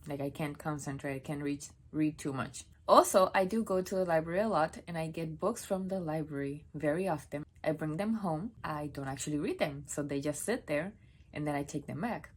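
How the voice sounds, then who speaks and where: A woman's voice: sits low, fairly quick. A young woman from Puerto Rico, a native Spanish speaker, chatting to camera from the floor of her home office, rambling and correcting herself as she goes. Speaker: book vlogger. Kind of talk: casual talk to camera.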